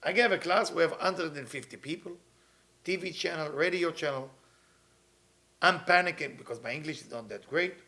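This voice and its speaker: Man in his 50s, slightly high voice, earnest teacher who repeats himself. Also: normal speed, sharp tone. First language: Hebrew. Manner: earnest, repeats phrases, non-native grammar. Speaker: kabbalah teacher. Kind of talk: weekly spiritual talk.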